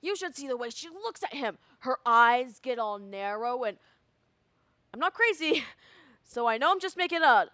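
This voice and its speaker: A young woman playing an animated, anxious school student, telling a story emphatically with dramatic pauses in a theatrical monologue. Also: sits high, no room sound, clean studio sound, full character voice. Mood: animated exasperation.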